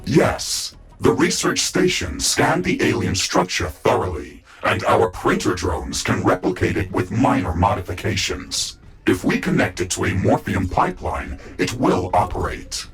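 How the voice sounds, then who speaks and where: A man voicing a calm, loyal artificial intelligence in a video game, with measured, formal, analytical speech. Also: low voice, faint music behind, exaggerated voice. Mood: informative, calm. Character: calm, loyal male AI of a battle mecha suit. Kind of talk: scripted video game dialogue.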